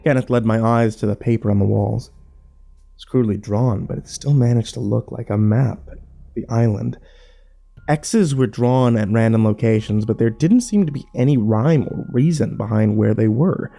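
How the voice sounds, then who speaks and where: A man playing a grim, fearful survivor, narrating his ordeal in a brooding, measured first-person horror style. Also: medium pitch, creaky, mellow. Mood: grim, uneasy.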